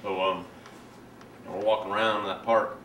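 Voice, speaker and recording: A man performing a dramatic monologue as a self-righteous, resentful young man, telling his story in a halting, colloquial, confiding way. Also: lowish voice, flat delivery, bright, forceful tone. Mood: tense, hushed. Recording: echoey room, distant mic, faint noise.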